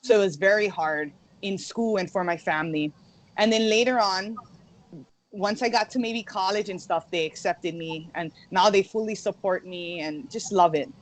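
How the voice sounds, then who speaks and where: A woman's voice: mid-range voice, normal speed, poor audio. Laughing young woman from Hawaii. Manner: laughs often, says "like" a lot. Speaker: guest. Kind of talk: casual interview chat.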